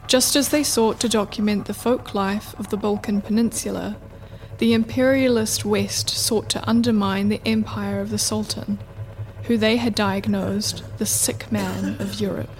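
A woman reading scripted narration for a radio play in a measured, deliberate way. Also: mid-range voice, steady tone, a little mellow, slight background noise, low-quality recording.

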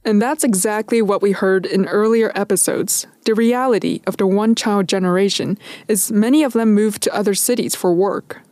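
A woman hosting a podcast, putting measured, articulate journalistic points and questions to a guest. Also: medium pitch, darkish tone, no room sound. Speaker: podcast host, interviewer. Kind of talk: scripted narration and interview questions.

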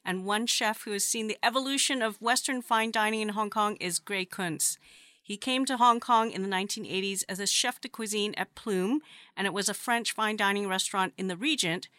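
Bright-voiced woman host, dry sound. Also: mid-range voice, fairly quick, dry recording. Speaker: podcast co-host. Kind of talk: scripted narration.

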